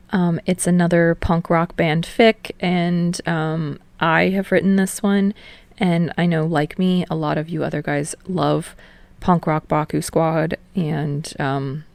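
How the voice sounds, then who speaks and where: A young woman with a slightly low voice speaks as an audio fiction narrator and host. Her delivery is expressive and conversational, recorded very dry with no room sound. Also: dry recording. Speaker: podfic narrator and channel host. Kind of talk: expressive story narration and casual chat to listeners.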